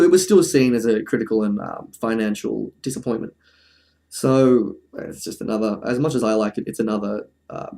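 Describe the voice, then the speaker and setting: Mellow-voiced man giving casual commentary. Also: mid-range voice. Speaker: presenter of a film review video essay.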